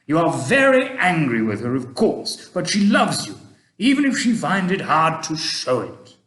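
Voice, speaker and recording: A man's voice: a little high, huge pitch swings, a little slow, a bit bright, roomy sound, pitched above the performer's own voice, exaggerated voice. A man voicing a kindly, genteel old male tramp, polite and old-fashioned. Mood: gentle, reassuring.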